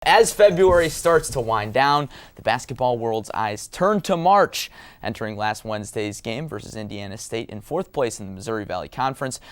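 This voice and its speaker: A man calling sports as a play-by-play announcer and anchor, in a commentary style. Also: mid-range voice. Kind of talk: sports commentary and highlight narration. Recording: crowd and outdoor noise behind. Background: crowd and ambient noise.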